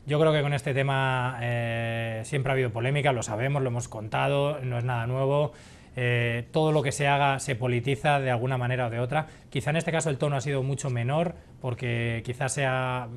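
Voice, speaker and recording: A man reporting in a studio news conversation, explaining with measured hesitations. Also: mid-range voice, steady tone, slight background noise.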